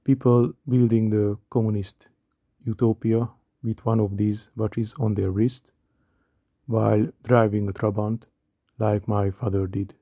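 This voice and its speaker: Hungarian-accented man in his thirties, dry close voice-over, slow and self-mocking. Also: on the low side, flat delivery, husky, no room sound, phone-quality sound. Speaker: watch collector. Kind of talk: scripted voice-over narration.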